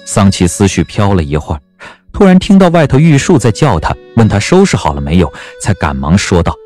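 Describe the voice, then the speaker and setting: A man's voice: on the low side, sing-song, brisk, a little mellow. A man narrating a scripted audio drama in a dramatic storytelling style. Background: background music.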